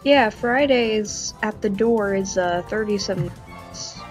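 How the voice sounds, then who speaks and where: A woman's voice: medium pitch, slow. A woman chatting casually as a stream host, telling stories in an informal, rambling way with some swearing. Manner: filler-heavy, informal storytelling, swears. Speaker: livestream host. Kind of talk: casual chat. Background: music.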